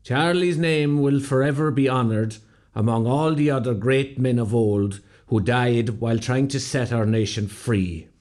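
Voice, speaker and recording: An actor playing a solemn, measured narrator delivering a scripted tribute in a radio play. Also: male voice, medium pitch, darkish tone, close to an everyday voice. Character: solemn narrator honouring a fallen Irish patriot.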